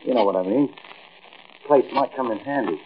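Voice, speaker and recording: An actor playing a nosy, cocky American small-time crook with slangy, pushy talk in 1940s radio style. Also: male voice, medium pitch, normal speed, close to an everyday voice. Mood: curious. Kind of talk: 1940s radio acting, casual dialogue. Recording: tinny, crackly old radio recording.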